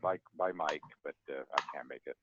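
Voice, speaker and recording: An older man with a very low voice chats casually on a group call. He is heard over a narrow phone line with faint noise behind him. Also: brisk, fairly bright tone. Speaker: real estate broker. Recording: noisy phone line with clicks.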